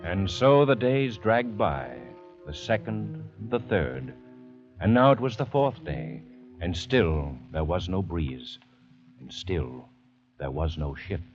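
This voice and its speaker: Casting: solemn old radio drama narrator, very deep voice, dull recording. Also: male voice, lively intonation, music in the background. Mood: grave. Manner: solemn, deliberate. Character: grave male storyteller of a survival tale. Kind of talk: scripted 1940s-50s radio drama narration.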